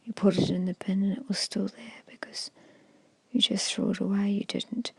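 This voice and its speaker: A woman whispering a guided meditation in a slow, soothing, hushed storytelling manner, close to the microphone. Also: mid-range voice, little pitch movement, no echo. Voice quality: breathy whisper. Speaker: hypnosis guide.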